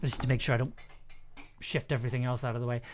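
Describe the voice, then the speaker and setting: A woman thinking aloud in casual livestream commentary as she works, sometimes muttering, sometimes playfully animated. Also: very deep voice, fast talker, faint music behind. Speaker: streamer editing an audio drama. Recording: keyboard clicks and typing noise. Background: computer typing and mouse clicks.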